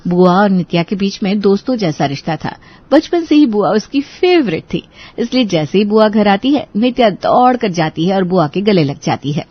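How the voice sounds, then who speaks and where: A woman's voice: mid-range voice, animated, moderate pace, no echo, highs cut off. A woman reading scripted narration for a bedtime story as its narrator.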